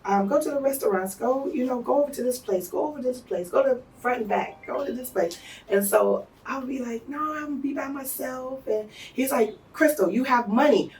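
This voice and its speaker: An American woman telling stories in a casual interview, talking fast with slang and laughing often. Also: sits high, a little mellow, far from the mic, low-quality recording. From United States. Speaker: interview guest.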